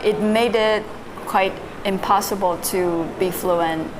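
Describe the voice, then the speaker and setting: A young woman from Vietnam, a native Vietnamese speaker, telling her story as a podcast guest in a relaxed way with fillers and soft laughs. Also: medium pitch, slow. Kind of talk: casual interview, recounting her story. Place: live-streamed online call. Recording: audible background noise.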